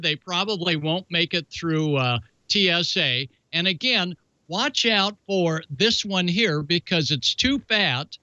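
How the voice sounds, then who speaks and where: A man's voice: on the high side, bright, forceful tone, no room sound, highs cut off. A man hosting a hobby show, talking through announcements and hands-on instructions in a folksy, chatty, playful way. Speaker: ham radio show host. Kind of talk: casual hands-on how-to demonstration with announcements.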